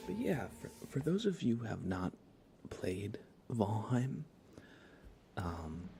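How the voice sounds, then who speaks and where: A man chatting casually on an ASMR game livestream in a soft, breathy voice that often slips toward a whisper, hesitant and rambling. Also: on the low side, very animated, slow. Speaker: livestream host. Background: music.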